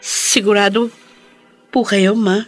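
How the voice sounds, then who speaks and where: An actress playing a meek, apologetic young wife in a radio drama, timid and deferential, with a Filipino accent. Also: female voice, mid-range voice, fairly even intonation, slow, soft background music, natural voice. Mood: hesitant.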